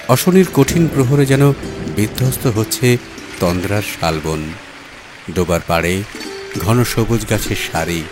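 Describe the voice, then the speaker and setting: A man narrates a literary short story in a dramatic audiobook style. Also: fairly low pitch. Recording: music bed under the voice. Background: background music.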